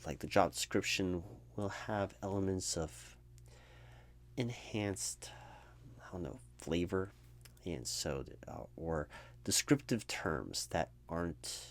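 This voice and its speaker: Very deep, gravelly man, jaded and apathetic. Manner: apathetic, low-energy, jaded; rambling, sardonic asides mimicking corporate talk. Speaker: ASMR roleplayer as a recruiter. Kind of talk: conversational ASMR roleplay monologue.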